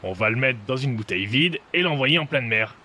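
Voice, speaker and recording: An actor playing a vain, rum-loving pirate captain in a comic audio drama, blustering and whiny. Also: male voice, medium pitch, animated, a little fast, bright, forceful tone, slight background noise. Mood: smug. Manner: blustering, drunken, whiny outbursts. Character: boozy, vain male pirate captain, young adult. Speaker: pirate captain Jack Pigeon.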